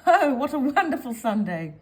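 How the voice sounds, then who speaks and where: Storybook part: woman playing a naughty, scheming little girl, gleeful, very animated delivery. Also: sits high, moderate pace, voice pushed up.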